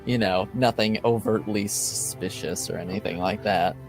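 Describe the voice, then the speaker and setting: A man running a tabletop game as game master, narrating in a relaxed way with many fillers. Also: on the low side, normal speed, faint music behind.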